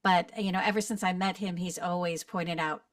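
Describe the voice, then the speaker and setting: A woman's voice: fairly low pitch, fairly even intonation, fairly quick, dry recording, studio quality, recorded from a distance. A middle-aged woman, a voice actor, talking casually on a video call, rambling with restarts and laughs. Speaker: narrator and voice actor guest. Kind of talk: casual online panel chat.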